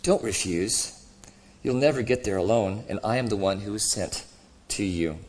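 A man voicing a gentle, cheerful redeemed spirit in a storybook reading, patient, humble and lightly amused. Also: lowish voice, normal speed, natural voice. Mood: joyful, inviting.

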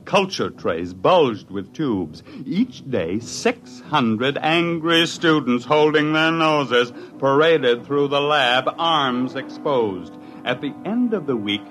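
Casting: a weary lab scientist, dry and unhurried narrator of a fifties radio play, middle pitch. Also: male voice, fairly bright tone. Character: weary, wry male research scientist narrating his own failed experiment. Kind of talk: 1950s radio drama acting. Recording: 1950s radio broadcast, music behind.

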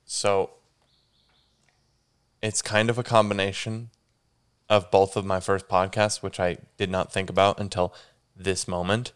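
A man's voice: low-pitched, level tone, unhurried, pauses often, smooth. Congested young man rambling on a podcast. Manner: rambling, self-correcting, says "dude" a lot. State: stuffy and congested. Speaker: podcast host. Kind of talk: casual solo podcast chat.

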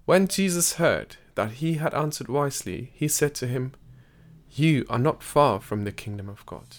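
A man's voice: medium pitch, expressive. Man narrating a podcast story. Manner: measured storyteller, lifts his voice for quoted speech. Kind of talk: scripted documentary narration.

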